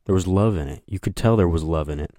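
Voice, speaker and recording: Young guy with a really low flat monotone voice, rambling podcast chat, dry close sound. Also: fast talker, dry recording. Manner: rambling, hesitant, many fillers. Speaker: podcast host. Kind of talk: casual solo podcast chat.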